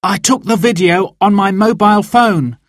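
A man's voice: high-pitched, moderate pace, dry recording, studio quality, pitched above the performer's own voice, close to an everyday voice. A man voicing an earnest, defiant boy in a children's story, emphatic and insistent.

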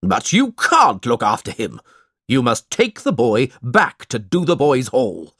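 A man's voice: on the low side, sing-song, a little slow, a bit bright, husky, dry recording, clean studio sound, exaggerated voice. A man voicing a stern, cold older uncle in a storybook, accusing and commanding. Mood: angry.

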